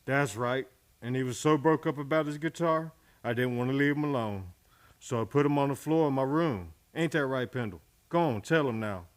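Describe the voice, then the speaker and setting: Casting: saloon barkeep played by a man, medium pitch, down-home, slippery and defensive, western radio drama. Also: normal speed, lightly acted. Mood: evasive, defensive. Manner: folksy, defensive. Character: evasive, folksy Old West barkeeper covering for a friend. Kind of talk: western radio play acting.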